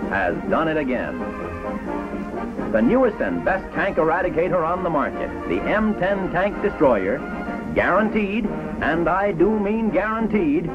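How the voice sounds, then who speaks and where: A man's voice: fairly high pitch, dull audio. An American man narrating in 1940s advertising style, with a punchy, emphatic salesman's patter, on an old film soundtrack. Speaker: announcer.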